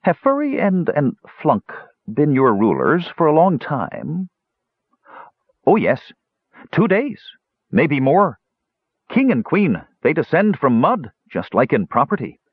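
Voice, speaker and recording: Man voicing high, eager gully dwarf, broken speech, husky edge. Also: huge pitch swings, slow, no echo, phone-quality sound, heavily acted. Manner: broken childlike grammar.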